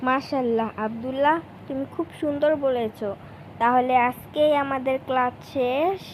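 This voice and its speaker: Woman as a kindly high-voiced cartoon schoolteacher. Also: fairly even intonation, normal speed, slight background noise, natural voice, rough recording. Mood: kindly, instructive. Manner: instructive, encouraging. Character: kindly male schoolteacher teaching children. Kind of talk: children's Islamic cartoon lesson.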